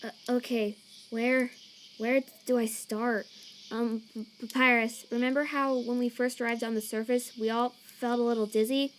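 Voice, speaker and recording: A woman voicing a nervous female monster scientist in a fan comic dub, earnest and anxious, with a halting stammer. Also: on the high side, flat delivery, slight background noise, natural voice. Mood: hesitant, explanatory. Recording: chirping crickets and night ambience behind.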